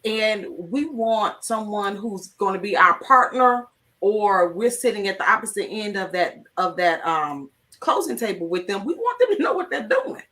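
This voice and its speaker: A woman's voice: medium pitch, big pitch swings, moderate pace, bright, forceful tone, distant mic. Emphatic woman host giving tips. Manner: emphatic, teaching tone, lists numbered tips. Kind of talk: motivational live-stream talk.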